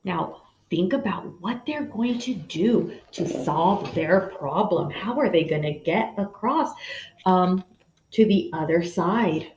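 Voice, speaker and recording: Woman reading a kids book out loud, super expressive n slow, kinda muffled echoey room. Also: lowish voice, slight background noise. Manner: dramatic, addressing young listeners. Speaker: children's story reader. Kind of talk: expressive picture-book read-aloud with asides. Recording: dull sound in echoey room.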